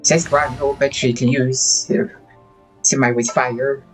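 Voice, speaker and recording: Low-key man streaming a game. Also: level tone, measured pace, faint music behind, poor audio. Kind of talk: casual livestream gameplay chat.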